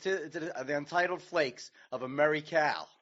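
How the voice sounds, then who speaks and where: A man reminiscing and reciting casually, with halting restarts. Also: on the high side, fairly bright tone, highs cut off.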